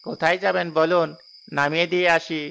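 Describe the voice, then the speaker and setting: A man's voice: on the high side, level tone, brisk, gravelly, pushed and bright, clear, resonant tone. A man voicing a deferential, superstitious village bullock-cart driver in a cartoon, respectful and cautioning in a rustic way.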